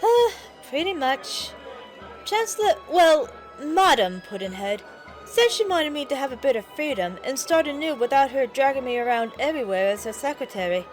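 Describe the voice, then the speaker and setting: A woman playing a kindly, plain-spoken pony barmaid in an audio drama, folksy and gently protective. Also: sits high, big pitch swings, normal speed, soft background music, rough recording. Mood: chatty.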